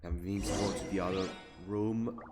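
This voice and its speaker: Low-voiced man muttering over gameplay. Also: steady tone, moderate pace. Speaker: gameplay streamer. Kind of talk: casual muttered gameplay commentary. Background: game music.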